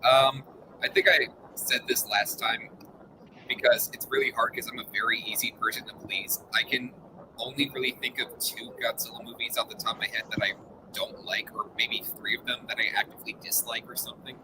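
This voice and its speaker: A man's voice: mid-range voice, huge pitch swings, a little fast, background noise. A man in a casual podcast chat who talks hesitantly, hedging and apologizing for his opinions. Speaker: podcast guest.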